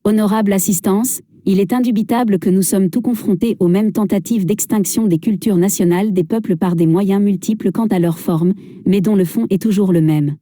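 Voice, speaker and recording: Female reader, formal and solemn; very dark, mellow voice recorded dry. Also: mid-range voice, moderate pace, no room sound. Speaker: reader of a translated speech. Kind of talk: formal reading of a speech.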